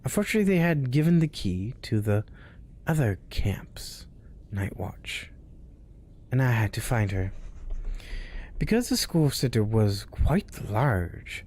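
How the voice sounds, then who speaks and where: A man hosting a spooky story show, reading tales aloud in a hushed voice that often drops to a whisper. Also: medium pitch, sing-song, measured pace, long pauses. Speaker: storyteller host.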